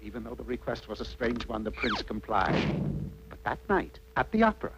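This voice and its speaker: A man's voice: medium pitch, sing-song, a little fast, muffled recording. A man narrating a scripted cartoon fairy tale in a wry, mock-dramatic storytelling manner. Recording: faintly noisy old cartoon soundtrack.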